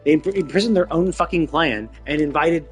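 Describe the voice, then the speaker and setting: A man's voice: fairly high pitch, a little fast, soft background music. A man livestreaming casual commentary on a game's story in an exasperated way, swearing freely. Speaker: game livestreamer.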